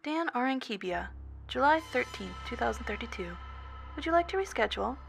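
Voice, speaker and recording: A woman's voice: fairly high pitch, lively intonation, a little fast, creaky, fairly bright tone, faint music behind. A woman playing a polite, unflappably cheerful telephone operator for a sinister company in a scripted horror audio story. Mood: courteous, menacing. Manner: scripted customer-service courtesy, unflappable.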